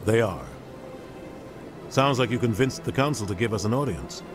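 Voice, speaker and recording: An actor playing a composed, authoritative navy captain in a video game, measured and businesslike. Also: male voice, fairly low pitch, expressive, music in the background, lightly acted.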